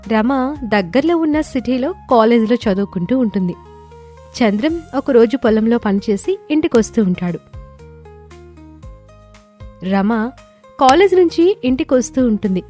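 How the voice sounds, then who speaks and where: A woman narrating a scripted moral story in a clear storytelling delivery. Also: a little high, a little mellow. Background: background music.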